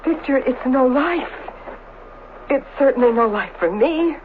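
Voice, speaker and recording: A pleading, weary wife, tinny recording. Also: female voice, sits high, lively intonation. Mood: weary reproach. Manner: anxious, halting, pleading. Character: worried, weary doctor's wife, timid and pleading. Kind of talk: old-fashioned radio drama acting. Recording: tinny, band-limited, noisy radio broadcast recording.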